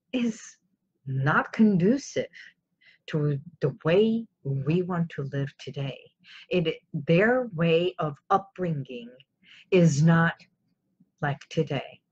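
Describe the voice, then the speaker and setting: Low, husky woman, native Armenian speaker. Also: darkish tone, lo-fi sound. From Iran. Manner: emphatic, explanatory, addresses viewers with rhetorical questions. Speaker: host of a self-help live show. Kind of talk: informal live motivational talk to camera.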